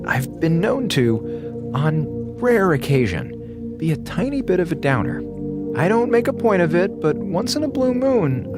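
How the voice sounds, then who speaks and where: An actor playing a chatty, sarcastic space station communications officer with an American accent, logging theatrically in a radio drama. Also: male voice, on the high side. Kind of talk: radio drama audio log monologue. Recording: music behind the voice.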